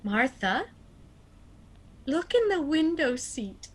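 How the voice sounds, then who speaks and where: An actress playing a sweet, doting elderly spinster aunt in an amateur audio drama. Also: female voice, high voice, sing-song, slow pace, full character voice.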